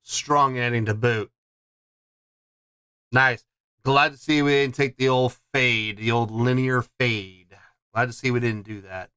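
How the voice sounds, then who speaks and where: A man's voice: mid-range voice, steady tone, unhurried, pauses often, sharp tone, smooth, no echo. A man hosting a music reaction channel, giving a casual song review and often repeating himself for emphasis. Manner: repeats and restarts phrases for emphasis.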